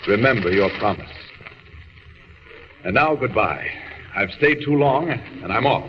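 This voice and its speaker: Guy acting as old radio superhero, solemn and commanding, pitch swings a lot, muffled echoey recording. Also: lively intonation, a little slow, close to an everyday voice. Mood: solemn, earnest. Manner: solemn, declarative, formal. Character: noble, earnest alien superhero man, calm and commanding. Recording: muffled, noisy, reverberant vintage radio recording.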